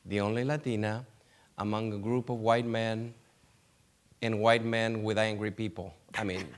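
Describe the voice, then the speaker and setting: A man's voice: lowish voice, level tone. A man moderating a conference panel, introducing the next speaker with many restarts. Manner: halting, many restarts and light laughs. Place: conference hall.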